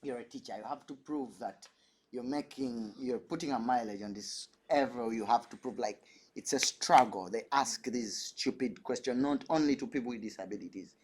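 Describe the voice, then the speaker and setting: A man's voice: mid-range voice, moderate pace, slightly rough. A man from Uganda, a dance artist, telling stories animatedly on a panel and laughing often. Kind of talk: panel discussion.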